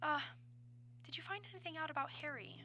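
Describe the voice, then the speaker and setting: An actress in a radio drama playing an affectionate, anxious wife talking on the telephone. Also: female voice, sits high, fast talker, sharp tone, soft background music. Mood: happy, affectionate.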